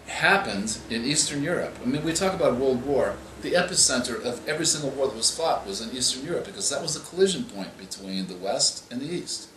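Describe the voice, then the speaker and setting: A male author answering interview questions, explaining in a measured way and often restarting his phrases. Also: mid-range voice, a bit bright, a little hiss or hum. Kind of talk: interview answers on writing craft. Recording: echoey room with faint ambience.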